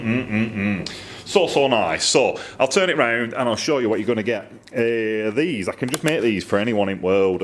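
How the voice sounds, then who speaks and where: A man's voice: on the low side, a little hiss or hum. A middle-aged man casually chatting in his workshop, rambling and enthusiastic as he shows off the exhausts he makes. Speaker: motorcycle exhaust maker. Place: indoor workshop.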